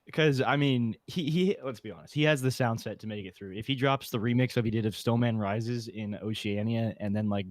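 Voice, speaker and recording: A man's voice: mid-range voice, a little fast, few pauses, no echo. A man arguing his picks in casual chat as a podcast panelist.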